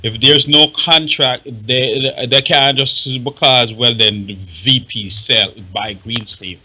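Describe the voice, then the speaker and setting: Forceful, husky Jamaican man giving advice. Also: medium pitch, slow, poor audio. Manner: emphatic, repeats himself for stress. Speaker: radio panelist advising on music rights. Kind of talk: panel discussion, explaining and advising.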